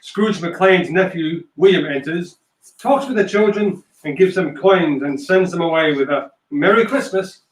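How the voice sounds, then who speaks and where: A roomy, slightly distant recording of a man with a fairly high voice. He is the host of a play reading, speaking casually and reading out scripted narration. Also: a little slow, a little hiss or hum. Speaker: host and stage-direction reader.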